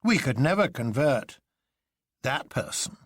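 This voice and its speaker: A man voicing an earnest, incredulous gentleman detective in an audiobook, animated and articulate. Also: mid-range voice, unhurried, slightly rough, dry recording, clean studio sound. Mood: thoughtful disbelief. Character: Syme, earnest incredulous English gentleman detective, exaggeratedly cheerful.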